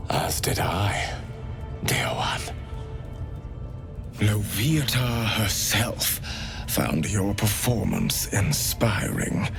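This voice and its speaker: Slow, creaky, devout sadistic priest. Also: male voice, on the low side, heavily acted. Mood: tender, gratified. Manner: breathy reverent crooning, theatrical, savouring words. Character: devout sadistic priest of a pain goddess, unctuous zealot. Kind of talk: dramatic game character acting.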